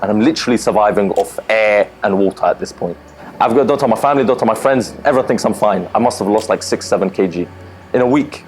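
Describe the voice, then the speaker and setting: Casting note: young streamer, low voice, candid and animated, music underneath. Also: male voice, fast. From Lebanon. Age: young adult. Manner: animated, candid, lots of fillers. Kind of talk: personal interview storytelling.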